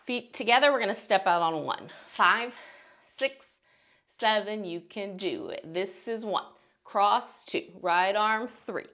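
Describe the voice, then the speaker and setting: Female dance instructor for a beginner tutorial. Encouraging, counts out beats, mid-pitched with noticeable vocal fry. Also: takes it slowly, fairly bright tone.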